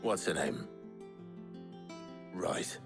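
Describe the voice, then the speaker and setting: A low-voiced British man, the steady and dependable leader type. He is terse and dryly understated, with clear music playing behind him. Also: very slow, heavily acted. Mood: businesslike. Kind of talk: video game voice acting.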